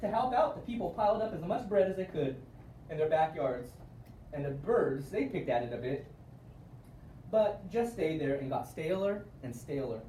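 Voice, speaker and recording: A man reading a children's storybook aloud in an expressive way with emphatic word stress, recorded at a distance in a room. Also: a little high, normal speed. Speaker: storybook reader. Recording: roomy, distant mic with faint noise.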